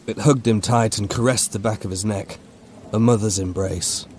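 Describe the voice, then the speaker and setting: A man with a very low voice narrates a horror audiobook. His delivery is measured and dramatic, recorded with faint noise behind it. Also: fairly even intonation, darkish tone.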